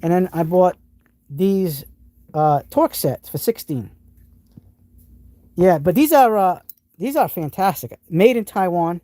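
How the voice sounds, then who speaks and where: A man with a New England accent talks through his tools on a live stream, excited and rambling, often restarting his sentences. His voice is mellow and warm in tone, recorded close and dry, with little room sound around it. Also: sits high, slow pace, pauses often, no room sound. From New England, United States. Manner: enthusiastic, rambling with frequent restarts. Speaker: host of a live tool stream. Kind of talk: casual live stream, showing tools and answering chat.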